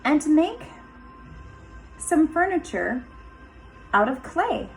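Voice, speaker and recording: Woman, kindergarten teacher, highish lively voice, children's story read-aloud, instructive, faint music behind. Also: slow pace, low-quality recording. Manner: expressive, instructive, addressing young children. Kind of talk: children's picture book read-aloud with asides.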